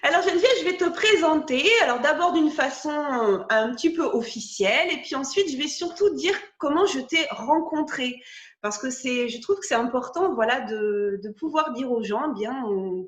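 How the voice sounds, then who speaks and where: A woman interviews a guest over a video call, her voice high and bright in pitch. She talks in a chatty, hesitant way, full of fillers and restarts. The room around her rings with noticeable echo. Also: dull audio. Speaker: podcast host interviewer.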